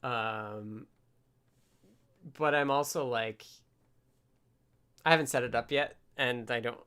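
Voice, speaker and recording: A man hosting a casual live coding stream, talking haltingly and half muttering to himself as he works. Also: medium pitch, bright, forceful tone, smooth. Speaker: livestream host, programmer. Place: indoors at a desk with computer.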